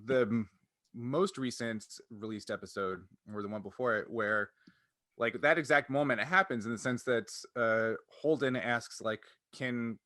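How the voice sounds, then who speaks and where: A man with a bright, forward voice and a mid-range pitch talks as a podcast co-host. He explains himself at length in a casual, reflective way, thinking aloud. The sound is close and dry. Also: no echo. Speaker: podcast co-host and tabletop game designer.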